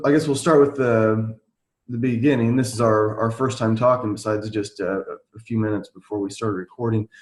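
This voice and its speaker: A man hosting a podcast interview, asking questions in a halting, casual way with lots of fillers. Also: mid-range voice, echoey room. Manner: halting, many fillers and restarts. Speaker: podcast host.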